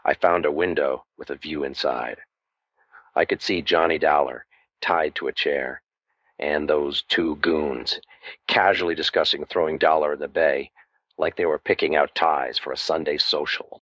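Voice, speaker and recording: A man playing a wry, world-weary hard-boiled private detective in an old-time radio drama, with deadpan delivery. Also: very deep voice, normal speed, no echo, heavily acted. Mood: wry narration. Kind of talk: old-time radio noir narration and acting. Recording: muffled audio with music underneath.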